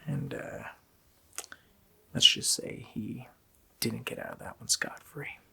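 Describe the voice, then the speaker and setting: A man voicing a sadistic, joking Christmas demon in a gravelly, whispered ASMR roleplay, with a slow, mockingly playful menace. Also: medium pitch, huge pitch swings, bright, forceful tone, heavily acted. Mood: sinister, scornful. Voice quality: gravelly whisper with creaky growl.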